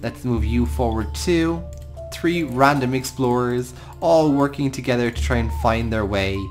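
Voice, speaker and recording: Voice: medium pitch, unhurried, faint music behind. An Irish gaming host giving improvised storytelling commentary over a game, talking affectionately to the creatures. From Ireland.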